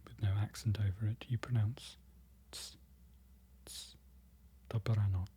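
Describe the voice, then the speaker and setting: A presenter whispering an ASMR-style lesson, slowly repeating foreign words and correcting themselves. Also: medium pitch, fairly even intonation, slight background noise. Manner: whispering throughout, soft and close. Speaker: presenter learning Polish from a book.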